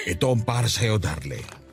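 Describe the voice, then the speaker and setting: Playful Filipino dad, lively swooping voice. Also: male voice, fairly low pitch, vocal fry, exaggerated voice. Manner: teasing, sweet-talking. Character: playful, doting middle-aged father and husband, teasing, affectionate. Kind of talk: Tagalog radio drama acting.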